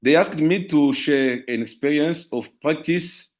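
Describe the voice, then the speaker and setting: A man from the DR Congo with a Congolese French accent, giving a formal presentation as a lawyer and teacher in careful, halting non-native English. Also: on the high side. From Democratic Republic of the Congo. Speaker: lawyer and university teacher, guest speaker.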